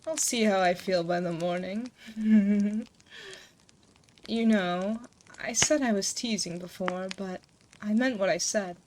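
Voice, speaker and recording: A woman playing an ancient succubus demon queen, motherly and gently teasing, in a hushed, soothing, intimate ASMR roleplay, close to a binaural mic. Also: mid-range voice, takes it slowly, long pauses, close to an everyday voice. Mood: warm, teasing. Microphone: close binaural 3Dio microphone. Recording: close binaural mic, noticeable background noise.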